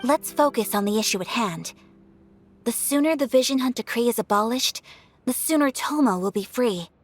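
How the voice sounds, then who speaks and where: A woman voicing a composed, dutiful young noblewoman who leads with formal, measured earnestness in a video game. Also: a little high, animated, natural voice. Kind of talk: video game cutscene voice acting.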